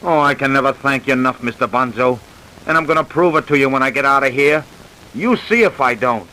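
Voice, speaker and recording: Guy acting american ex-con workingman, old radio crime show, bright sharp voice, humble n cagey, bit of hiss. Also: mid-range voice, brisk, close to an everyday voice, low-quality recording. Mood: grateful, polite. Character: male paroled ex-convict carpenter, humble, evasive under questioning. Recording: hissy vintage radio recording.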